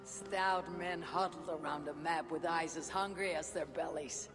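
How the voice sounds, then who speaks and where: Game cast: actor playing a weary Viking wanderer, clipped and dry, low-key delivery. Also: steady tone, a little slow, music in the background, close to an everyday voice. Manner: dry, terse, quiet. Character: weary Viking warrior bidding farewell. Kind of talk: video game cutscene acting.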